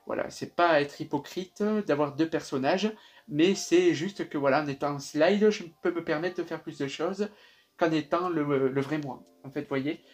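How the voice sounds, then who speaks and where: A man's voice: on the high side, normal speed. A young man in his twenties with a sing-song southern French accent, chatting upbeat in a casual vlog with lots of fillers. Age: 20s. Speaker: YouTube reaction creator.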